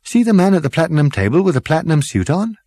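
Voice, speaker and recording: A man voicing an excitable, matey male alien traveller in a comic audiobook, gushing and slangy. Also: a little high, fast, dry recording, clean studio sound, voice pushed up. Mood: excited, impressed.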